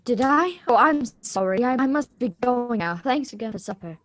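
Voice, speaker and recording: Woman playing a lively, high-voiced nervous schoolgirl. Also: moderate pace, no room sound. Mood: politely evasive. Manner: hesitant, apologetic, stammering. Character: nervous, evasive teenage schoolgirl, the Doctor's granddaughter. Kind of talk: live radio drama script reading.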